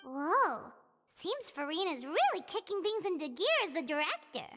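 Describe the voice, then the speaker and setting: A woman voicing a tiny, cheerful, childlike fairy companion in a game, squeaky and cute. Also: big pitch swings, normal speed, over music. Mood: curious. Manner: squeaky, cute, refers to itself by name. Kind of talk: video game cutscene voice acting.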